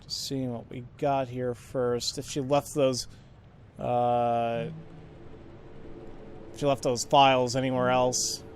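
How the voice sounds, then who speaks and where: A man gives informal video game commentary in a medium-pitched voice. He thinks aloud in halting, casual phrases. There is faint noise behind him. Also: little pitch movement. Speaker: let's play gamer.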